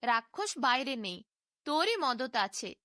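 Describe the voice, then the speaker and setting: Woman voicing a stern holy hermit, high and bright. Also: big pitch swings, a little slow, no room sound, clean studio sound, full character voice. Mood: reproachful. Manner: admonishing, commanding. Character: stern holy male hermit appearing in dreams.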